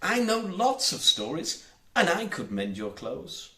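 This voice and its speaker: A man voicing a kind, practical, soft-hearted young girl in a children's storybook, in an eager manner. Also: sits high, huge pitch swings, a little slow, echoey room, voice pushed up, exaggerated voice. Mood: sympathetic. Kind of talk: storybook character voice.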